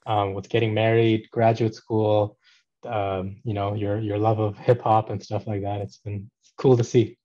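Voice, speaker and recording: Man, 30s, podcast guest, low mellow voice, relaxed, hesitant restarts, muffled lo-fi audio. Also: unhurried. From Pakistan. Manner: relaxed, many ums and restarts. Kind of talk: casual conversational interview.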